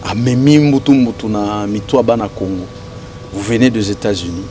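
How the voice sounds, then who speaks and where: A man's voice: on the low side, moderate pace, background noise. A man interviewing a guest, hesitant with many fillers, switching between languages. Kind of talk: casual interview questions.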